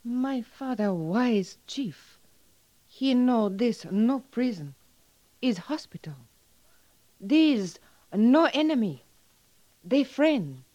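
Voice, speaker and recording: Gentle young western chief's daughter, slow broken english, dull old radio sound. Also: female voice, on the high side, no room sound, heavily acted.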